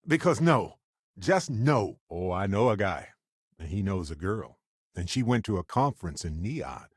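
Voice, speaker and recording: An actor playing an affable, wisecracking space explorer and scientist in a video game, speaking in a playful, wry, conversational way. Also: male voice, sits low, sing-song, measured pace, breathy edge, no room sound, studio quality, heavily acted. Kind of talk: video game companion dialogue.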